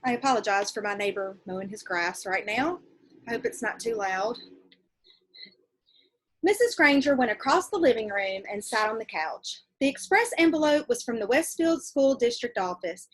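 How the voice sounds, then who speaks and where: A woman reads a storybook aloud in the manner of a teacher. Her voice sits fairly high and moves up and down in lively fashion, with a husky edge. She is expressive and explanatory, turning to her young listeners as she goes. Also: normal speed, poor audio. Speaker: teacher reading a book aloud to pupils. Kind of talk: read-aloud storytelling with asides to children.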